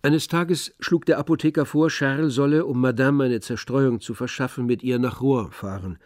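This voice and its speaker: A man giving scripted literary narration in a radio drama, in a measured, composed manner. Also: medium pitch, darkish tone, dry recording, faint music behind.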